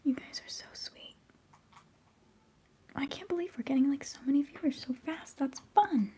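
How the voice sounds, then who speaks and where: A woman's voice: sits high, normal speed. Whispering young woman, close-mic ASMR. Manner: soft whispering, chatty and giggly. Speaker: livestream host. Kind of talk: whispered ASMR live chat.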